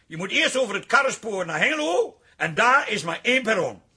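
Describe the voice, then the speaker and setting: A man's voice: sits high, very animated, fairly quick, pushed and bright, breathy edge, exaggerated voice. An actor playing an exasperated, sarcastic city man in a Dutch radio drama. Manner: sardonic.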